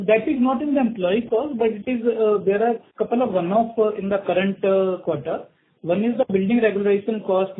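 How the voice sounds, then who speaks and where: A man with an Indian accent, a finance executive explaining company costs to an analyst on a conference call. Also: high-pitched. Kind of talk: answering analyst questions on an earnings conference call. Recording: tinny phone conference line.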